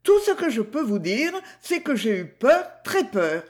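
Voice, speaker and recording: A woman's voice: on the high side, sing-song, unhurried, darkish tone, pitched above the performer's own voice. A man voicing a frightened, plump woman butcher who dramatically retells being threatened with a knife. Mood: shaken, dramatic. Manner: breathless, overdramatic retelling.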